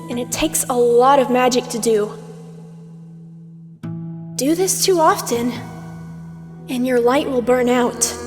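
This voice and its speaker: High-voiced condescending mystical mentor played by a woman. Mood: cautioning. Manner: lecturing, sardonic, theatrical. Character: stern, teasing older aspect of Twilight, condescending mentor. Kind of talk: scripted fan comic dub acting. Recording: long reverb over music.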